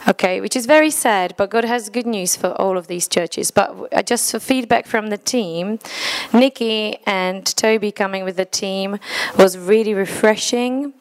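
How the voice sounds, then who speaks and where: A woman's voice: mid-range voice, normal speed, poor audio. Czech woman talking to a church crowd, english is her second language, earnest, a bit broken, laughs at her own slip-ups. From Czech Republic. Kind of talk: speaking to a church congregation.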